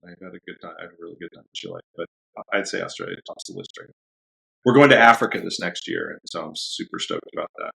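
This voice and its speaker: Deep gravelly-voiced man chatting easily. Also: quick pace, low-quality recording. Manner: relaxed and rambling, laughs often. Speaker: dog trainer guest. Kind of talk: casual interview chat.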